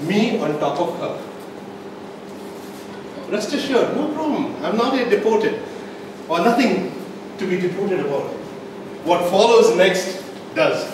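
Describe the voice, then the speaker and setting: A distant, very echoey recording with clear background noise catches a man giving a comic storytelling speech. He holds dramatic pauses and leans hard on key phrases, building up each point theatrically for a live audience. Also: sits high. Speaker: club speaker telling a tall tale in a speech contest. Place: indoor meeting room, recorded from the audience.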